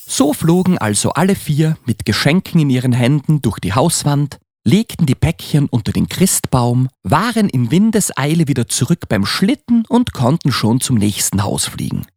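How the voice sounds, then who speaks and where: A male narrator reads a children's Christmas story with a lively, widely moving melody. His voice has a husky grain. The recording is dry and close, with very little room sound. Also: medium pitch, normal speed, hardly any pauses, no room sound.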